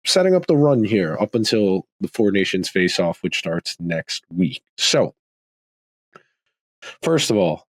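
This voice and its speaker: A man with a fairly low voice hosts a sports podcast, roughened by a slight rasp. He talks casually and thinks aloud, often circling back to restart a phrase. The sound is dry and close, with no room around it. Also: very animated, a little slow, no room sound, poor audio. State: slightly raspy from a throat tickle. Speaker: podcast host.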